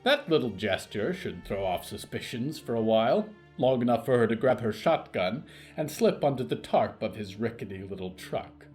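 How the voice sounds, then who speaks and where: A man reading scripted narration for an audio fiction show in a theatrical, deliberate way. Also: medium pitch, very animated, darkish tone, faint music behind.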